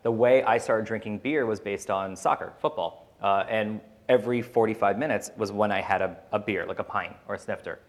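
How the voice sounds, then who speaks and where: A man's voice: on the low side, a little fast, few pauses. A man speaking as a podcast host on a panel, telling personal stories in a casual, candid, rambling way with some swearing.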